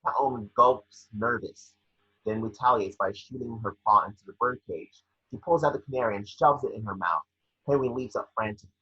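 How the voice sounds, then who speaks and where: A man reading scene descriptions as the narrator of a scripted table read, with a husky voice. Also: mid-range voice, normal speed, sharp tone, lo-fi sound. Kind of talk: scripted narration of screenplay action.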